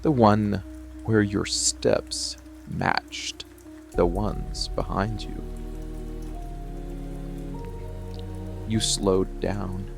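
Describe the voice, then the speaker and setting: Man acting a gravelly, slow-murmuring monster boyfriend. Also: little pitch movement, exaggerated voice. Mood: calm, ominous. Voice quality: low, gravelly, breathy murmur often near a whisper. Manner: slow, deliberate delivery with long pauses. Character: possessive dark monster boyfriend, hidden protective watcher. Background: rain and music.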